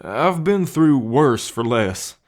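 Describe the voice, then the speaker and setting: A man playing a gentle, devoted bull man with a violent past in an ASMR roleplay, speaking earnestly and tenderly with halting pauses. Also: medium pitch, sing-song. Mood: grateful, tender. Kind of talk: ASMR roleplay addressed to the listener.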